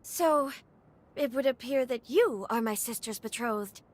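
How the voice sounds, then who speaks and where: A woman's voice: a little high, measured pace, close to an everyday voice. A woman playing a haughty, overprotective noble sister in a video game cutscene, speaking in a formal, dramatic manner. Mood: indignant.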